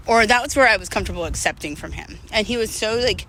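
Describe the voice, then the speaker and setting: A woman's voice: medium pitch, expressive, fast talker, a bit bright, a little hiss or hum, low-quality recording. A woman in her thirties talking in a candid, rambling, slangy way, as in a casual confessional walking vlog. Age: 30s. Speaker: vlogger.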